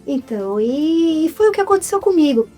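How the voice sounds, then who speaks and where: A Brazilian woman in her fifties tells her story in a relaxed interview. Her voice sits a little high and stays animated. Soft music plays in the background. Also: fairly quick. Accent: Brazilian Portuguese. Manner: lively, addresses the audience as "gente". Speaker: interview guest, actress. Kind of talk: casual interview chat.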